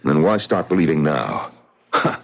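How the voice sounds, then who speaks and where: An actor playing a blunt, forceful middle-aged businessman and widower in a vintage radio mystery. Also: very deep voice, sing-song, unhurried, gravelly, exaggerated voice. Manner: blunt and forceful, speaks his mind. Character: blunt, tough-minded male businessman widower. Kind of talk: vintage radio drama acting. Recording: dull old radio broadcast.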